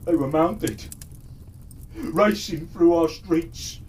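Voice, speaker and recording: A man voicing a shaken, earnest male elf villager in a storybook tale, telling things in a measured way. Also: a little high, a little mellow. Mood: shaken, grave. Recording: distant mic with faint background noise.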